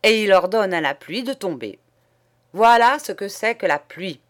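A woman's voice: mid-range voice, lively intonation, moderate pace, fairly bright tone, lightly acted. A woman voicing an agitated old male parish priest who preaches hellfire and sputters with outrage. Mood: preaching.

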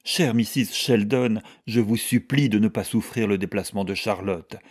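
A man voicing a serious, indignant young woman with a pleading, forceful manner in an audiobook. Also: fairly low pitch, unhurried, no echo, studio quality, lightly acted. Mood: earnest pleading. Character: earnest, indignant, impatient young woman protecting her friend.

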